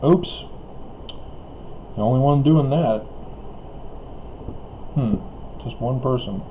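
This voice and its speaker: Casting: unhurried man with a mellow, slightly hoarse voice, chuckling between remarks, dull lo-fi sound. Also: medium pitch, a little hiss or hum. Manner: hesitant, many fillers and restarts. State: losing his voice, coughing. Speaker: online video commentator. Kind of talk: rambling solo monologue.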